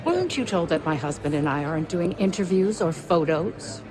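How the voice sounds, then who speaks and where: An actress playing a bitter, haughty, neglected politician's wife in a video game, arch, sarcastic and theatrical. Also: female voice, on the low side, animated, moderate pace, lightly acted, rough recording. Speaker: senator's wife. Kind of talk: acted game cutscene dialogue. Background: music.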